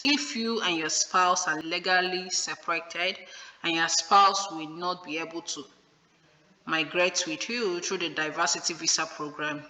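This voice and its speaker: A woman's voice: deep voice, sharp tone. A woman hosting a tutorial, patiently walking viewers through an online form and repeating the key points. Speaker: video host explaining a visa form. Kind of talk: screen-recorded tutorial walkthrough. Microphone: voice-over on a screen recording. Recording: echoey room with long reverb.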